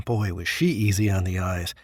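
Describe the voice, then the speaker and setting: An actor playing a smug, stubborn dead business tycoon in an audio drama, with a wry, self-satisfied manner. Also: male voice, low voice, lively intonation, measured pace. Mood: wry storytelling. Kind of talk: first-person audio drama narration and dialogue. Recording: noisy background ambience, sometimes echoey.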